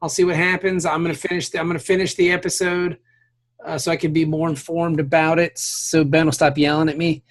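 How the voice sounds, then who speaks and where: A man's voice: fairly high pitch, level tone, a little fast. A man co-hosting a podcast, chatting loosely and agreeably, with casual swearing. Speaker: podcast co-host. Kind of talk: casual chat.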